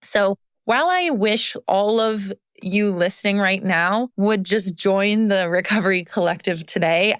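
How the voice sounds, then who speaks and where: A woman's voice: medium pitch, smooth, dry recording, studio quality. A woman hosting a podcast as a recovery coach, talking in an earnest, encouraging way. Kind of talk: scripted podcast monologue.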